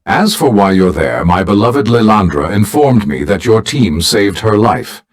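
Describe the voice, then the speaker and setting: A low, very expressive voice of a dignified psychic mentor, played by a man. He speaks formally and gravely, like a video game team leader guiding his heroes. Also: unhurried. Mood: grave briefing. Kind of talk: scripted video game character acting.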